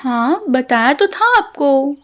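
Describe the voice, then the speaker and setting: A woman's voice: high voice, very animated, takes it slowly, full character voice. A woman playing a childlike, puzzled young wife in an audio drama. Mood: sleepy, puzzled.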